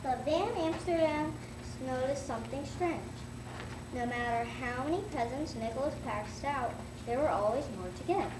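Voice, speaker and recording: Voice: sits high, normal speed, far from the mic. Young child narrating, echoey room. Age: child, about eight or nine. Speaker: narrator in a school readers' theater. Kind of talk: scripted story narration, read aloud. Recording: echoey, noisy room from afar.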